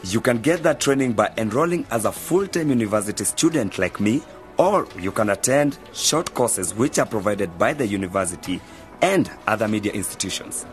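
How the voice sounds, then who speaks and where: Radio drama casting: a man as calm, knowledgeable young male student mentor, lower-pitched, measured delivery, music underneath. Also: close to an everyday voice. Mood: patient, instructive. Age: young adult. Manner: explanatory, measured. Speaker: university journalism student mentoring novices. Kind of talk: scripted educational radio drama.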